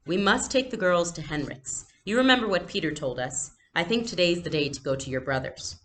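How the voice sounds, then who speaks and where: A woman's voice: lowish voice, lively intonation, brisk, a bit bright, a little hiss or hum. Earnest protective father read by a woman. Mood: earnest, firm. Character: protective, decisive father in wartime storybook.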